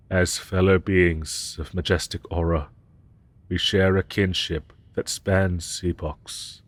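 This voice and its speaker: Man playing a slow, very deep haughty dragon professor. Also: no echo. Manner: archaic, pompous, ornate formal phrasing. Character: haughty male dragon professor, formal, grandiose, secretly tender. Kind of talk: scripted romantic fantasy audio roleplay.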